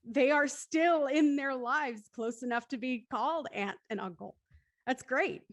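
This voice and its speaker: Woman, high voice, discussion host, effusive, appreciative, casual panel talk. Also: measured pace. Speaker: host of an online post-show discussion.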